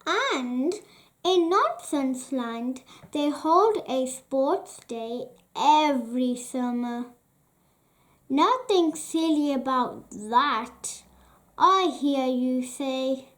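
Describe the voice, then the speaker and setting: A woman reading a children's story aloud in a deliberate, carefully phrased way with frequent pauses. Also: high voice, expressive. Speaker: children's storybook reader, channel host.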